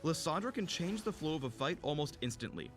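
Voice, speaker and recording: A man's voice: a little high, sing-song, fairly quick, over music. A man reading scripted narration for a video game character guide. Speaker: game champion spotlight narrator.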